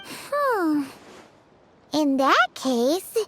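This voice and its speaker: A woman voicing a tiny, naive, excitable childlike companion creature in an anime game, squeaky and eager. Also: very slow, noisy background, full character voice. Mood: curious, puzzled. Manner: exclamatory, eager.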